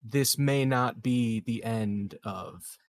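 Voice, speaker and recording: A man playing an evasive, scheming leader of a space colony in a tabletop roleplay, speaking in a hesitant, hedging, measured way. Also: medium pitch, no room sound. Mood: guarded, persuasive. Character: smooth, evasive, scheming male colony leader in science fiction.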